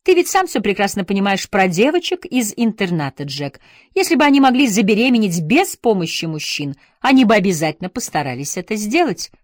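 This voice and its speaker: A woman's voice: mid-range voice, big pitch swings, brisk, dry recording, clean studio sound, lightly acted. A woman narrator voicing a passionate, nostalgic former schoolteacher who swings between wistfulness and scolding. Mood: scornful. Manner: impassioned, by turns wistful and scolding. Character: passionate middle-aged woman, former teacher, fond and scornful.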